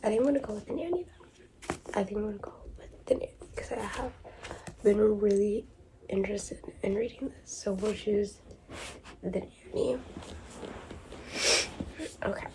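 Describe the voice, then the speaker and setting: A woman with a stuffed-up, sick voice talks casually through her reading plans, rambling and using lots of fillers. Her voice sits at an ordinary pitch for a woman. A steady hiss of noise runs under the recording. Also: normal speed, pauses often. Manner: rambling, filler-heavy, thinking aloud. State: sick and congested. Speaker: book vlogger. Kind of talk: casual chatty vlog.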